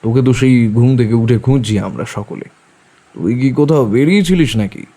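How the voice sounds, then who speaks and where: A man playing a jovial village uncle in middle age, fond of teasing. The voice is gravelly and husky, with a dark, relaxed tone, in an audio drama. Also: medium pitch, moderate pace, natural voice. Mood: hearty, inquiring. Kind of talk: Bengali audio story acting.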